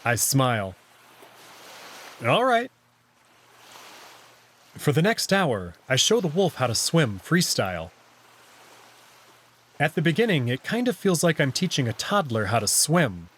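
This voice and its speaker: A man's voice: mid-range voice, fairly bright tone, breathy edge. A man reading scripted first-person story narration from a visual novel, with acted dialogue. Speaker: narrator and voice reader.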